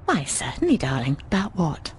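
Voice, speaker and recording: Lively, lowish-voiced landlady. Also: moderate pace, slight background noise. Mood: chatty, wry. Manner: expressive, teasing, calls people darling. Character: breezy, affectionate, bawdy landlady, worldly older friend. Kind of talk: conversational video game voice acting.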